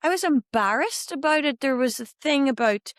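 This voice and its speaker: A woman in her fifties with a Belfast Irish accent, telling her life story as an interview guest in a candid, animated way with quoted asides. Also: high voice, normal speed, dry recording, studio quality. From Northern Ireland. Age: 50s. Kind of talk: reflective interview conversation.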